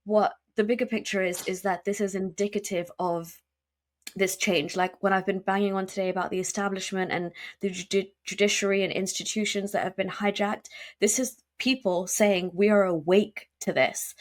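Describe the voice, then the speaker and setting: A woman speaking as a guest in an interview, talking emphatically and quickly with many fillers and restarts. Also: medium pitch, level tone. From United Kingdom. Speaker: podcast guest. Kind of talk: conversational interview.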